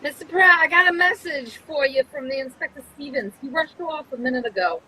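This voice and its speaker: Casting: woman as eager young lad, very high, forceful and bright, blunt radio-play driver. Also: a little fast, a little hiss or hum, poor audio. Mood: excited. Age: young adult. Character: Johnny, eager young male driver and messenger. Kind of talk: live reading of old-time radio mystery script.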